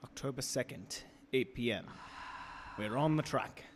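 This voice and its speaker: An actor playing an anxious husband on a vampire hunt, terse and earnest, in a radio horror drama. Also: male voice, medium pitch, unhurried, lightly acted. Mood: tense, businesslike. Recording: reverberant hall, distant, faint noise.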